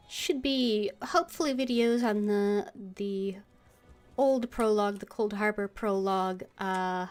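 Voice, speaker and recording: A woman game streamer chatting casually on a livestream in a hesitant, halting way. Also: medium pitch.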